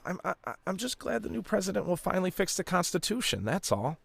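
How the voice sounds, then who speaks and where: An actor playing a naive twenty-year-old prison guard in a game cutscene, American, hesitant and deferential, prone to stammering. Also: male voice, high-pitched, big pitch swings, fast, vocal fry, a bit bright, exaggerated voice. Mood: flustered, defensive. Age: young adult. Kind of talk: dramatic game cutscene acting.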